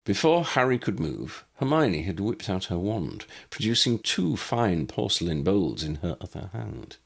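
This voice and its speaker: A man's voice: low voice, sing-song, a little slow, gravelly, husky. A man reading scripted story narration as an audiobook narrator.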